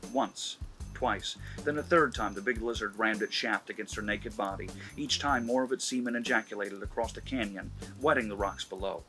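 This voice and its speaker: A man hosting a comedy channel, giving an erotic story a mock-serious dramatic reading. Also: mid-range voice. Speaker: narrator and host.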